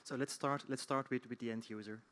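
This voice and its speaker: A man's voice: mid-range voice, steady tone, brisk, a bit bright. A man moderating a panel discussion, hesitant, with many ums and restarts as he puts his questions.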